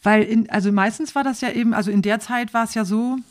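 A woman's voice: fairly high pitch, steady tone, quick pace. A woman in her forties interviewing a guest, engaged and friendly, often restarting her sentences. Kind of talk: conversational interview.

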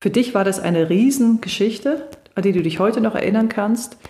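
A middle-aged woman coaching on voice and stage fright, explaining calmly and reassuringly in a podcast conversation. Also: medium pitch, normal speed. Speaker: voice and charisma coach. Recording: echoey room.

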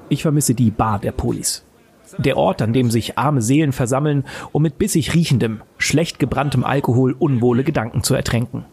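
A man's voice: medium pitch, lightly acted. Man playing a brooding mercenary narrator over guitar music. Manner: brooding, literary storytelling. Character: weary, bleak male mercenary survivor of underground tunnels. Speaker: narrator of an audio drama. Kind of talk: scripted first-person dramatic narration. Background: guitar music and game sound effects.

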